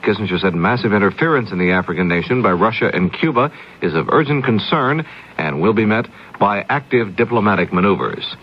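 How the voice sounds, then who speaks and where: A man's voice: lowish voice, animated, a little fast, husky, dull audio. A man reading a scripted 1970s radio news bulletin as a newscaster, on an old AM radio recording.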